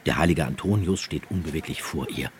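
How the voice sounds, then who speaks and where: A man narrating a literary radio play from a script in a measured, descriptive manner. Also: very deep voice, slight background noise.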